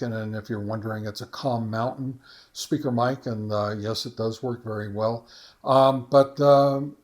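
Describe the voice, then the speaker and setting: A man hosting a casual how-to video, talking informally and somewhat haltingly as he explains each step. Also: medium pitch. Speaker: host of a ham radio how-to channel. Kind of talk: casual tutorial narration.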